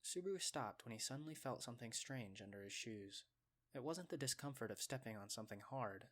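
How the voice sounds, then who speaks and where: A male narrator reads a scripted story in a mid-range voice. His delivery is measured and descriptive, in the manner of a storyteller. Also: medium pitch, animated, a bit bright.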